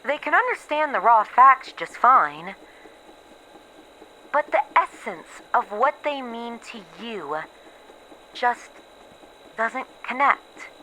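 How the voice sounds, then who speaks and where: A woman playing a weary, haunted researcher who is losing her memories, in a halting, introspective horror audio roleplay monologue. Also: on the high side, very animated, sharp tone, slight background noise, full character voice, poor audio. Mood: pensive, unsettled. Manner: halting, introspective, pausing between short phrases.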